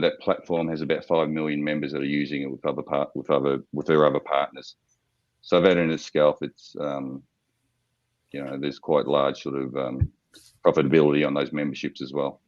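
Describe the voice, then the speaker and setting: A man with an Australian accent pitching his startup to investors, stopping often and repeating words as he explains. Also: dry recording, highs cut off. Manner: halting, repeats words, frequent fillers. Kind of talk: business pitch Q&A.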